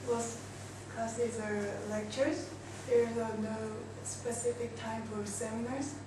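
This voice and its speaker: A young woman from South Korea with a Korean accent, presenting informally as an exchange student in careful, halting short phrases. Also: fairly high pitch, fairly even intonation. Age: young adult. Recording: very echoey noisy room, distant mic.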